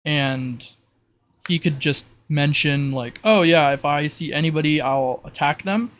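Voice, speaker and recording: A man running an online tabletop roleplay game as game master, halting as he thinks aloud. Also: fairly high pitch, fairly bright tone.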